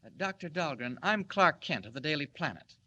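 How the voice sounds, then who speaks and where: An actor playing a mild-mannered newspaper reporter, courteous and slightly hesitant, in 1940s radio style. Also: male voice, on the high side, expressive, a little fast, pushed and bright, full character voice. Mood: polite, earnest.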